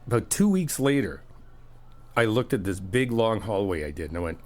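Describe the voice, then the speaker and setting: A man's voice: on the low side, animated, normal speed. A man doing a friendly ASMR roleplay, chatty and teasing, reassuring the listener as he helps out. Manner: reassuring, helpful.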